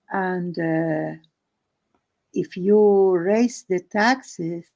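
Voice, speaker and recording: A woman who is not a native English speaker tells an improvised story casually, halting phrase by phrase with searching pauses. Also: on the low side, gravelly, a little mellow. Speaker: host of an art and story stream.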